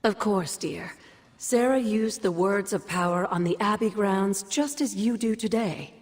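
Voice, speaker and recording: A woman's voice: mid-range voice, unhurried, natural voice. Woman playing wry sorceress mentor in a reverberant hall. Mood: wry, fond. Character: wry older sorceress mentor, fond and knowing. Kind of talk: game cutscene dialogue, expository storytelling.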